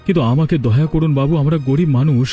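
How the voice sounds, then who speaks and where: A man's voice: on the high side, quick pace, warm tone, soft background music, lightly acted. A man voicing a poor, humble male yogurt seller in a cartoon, with a deferential, plaintive manner. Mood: pleading. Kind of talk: cartoon character dialogue.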